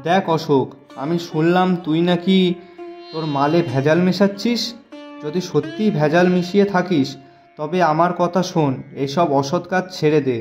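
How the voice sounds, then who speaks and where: A man acts a poor but honest village shopkeeper in a cartoon tale. His voice is on the high side, gentle and pleading, with light music behind. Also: normal speed, muffled recording, natural voice. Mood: earnest, concerned. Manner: earnest, pleading. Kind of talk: cartoon voice acting.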